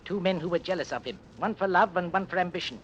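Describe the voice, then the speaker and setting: An actor playing a gossipy, bitter tailor who tells tales eagerly in a 1930s radio drama. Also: male voice, high-pitched, fast, fairly bright tone, lo-fi sound, slight background noise. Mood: confiding.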